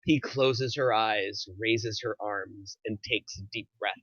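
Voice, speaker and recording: A man's voice: on the low side, steady tone, takes it slowly, a bit bright, dry recording, dull audio. A man narrating the stage directions at a film script table read in a plain scripted delivery.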